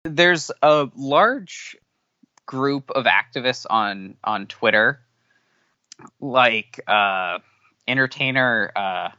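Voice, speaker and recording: A man whose first language is not English answering interview questions candidly, halting with many fillers and restarts. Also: mid-range voice, animated, bright, forceful tone, no room sound. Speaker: podcast guest. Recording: dull lo-fi audio.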